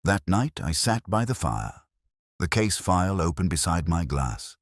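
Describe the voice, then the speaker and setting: A man acts as an ageing detective looking back in a very deep voice. The delivery is measured and melancholy, with lively rises and falls in pitch. It sounds close and dry, like philosophical first-person audio drama narration. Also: no echo, full character voice. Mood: somber, reflective. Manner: measured, solemn, contemplative. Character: weary ageing male English detective, reflective, melancholy, philosophical.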